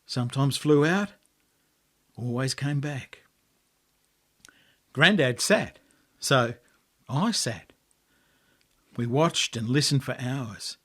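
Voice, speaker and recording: An Australian man reading a story as dramatic narration, in measured, poetic phrases with deliberate pauses. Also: medium pitch, expressive, a bit bright. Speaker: author reading own story.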